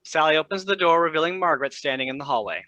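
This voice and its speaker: Brisk, bright man reading stage directions. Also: on the high side, lively intonation, no room sound, highs cut off. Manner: brisk, matter-of-fact. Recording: dry close recording.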